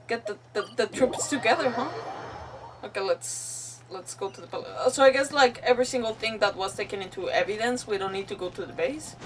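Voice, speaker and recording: Higher-pitched woman streamer, slightly off-mic. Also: recorded from a distance, noisy background. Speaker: game streamer. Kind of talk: casual live gameplay commentary.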